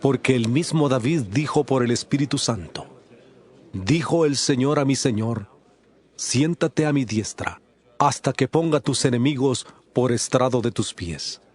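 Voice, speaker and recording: A man's voice: medium pitch, expressive, unhurried, darkish tone. A man playing an authoritative religious teacher in a dramatized scripture audiobook, speaking in a solemn, emphatic, declamatory way. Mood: questioning.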